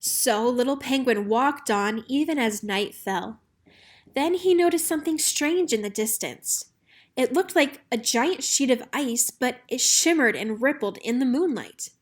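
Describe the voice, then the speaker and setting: Female storybook narrator, fairly high voice, expressive scripted read-aloud for children. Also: unhurried. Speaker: storybook reader.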